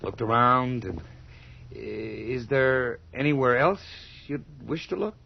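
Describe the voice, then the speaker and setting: A man's voice: medium pitch, slow, gravelly, slightly rough, exaggerated voice. An actor playing a mad, guilt-ridden hired man hiding a murder in a horror radio drama, theatrical and unsettled. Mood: agitated, ingratiating. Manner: theatrical, stammering, swinging from hushed gloating to over-eager politeness. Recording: dull, lightly noisy old radio recording.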